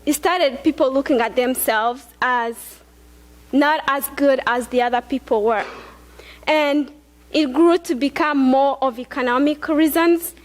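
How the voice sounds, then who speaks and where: A young woman from Rwanda speaks on a large auditorium stage. Her high voice stays earnest and conversational, with plenty of fillers and restarts. A headset mic picks her up close. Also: a little slow. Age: young adult. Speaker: student speaker. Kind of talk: personal talk on stage.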